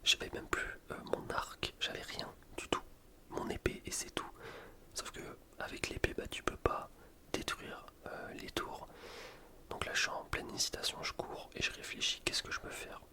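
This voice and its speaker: A man speaks entirely in a breathy, unvoiced whisper. He gives ASMR commentary on a video game in a casual, slangy way, poking fun at himself as he goes. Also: moderate pace. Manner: casual, slangy, self-deprecating. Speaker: gaming ASMR video host.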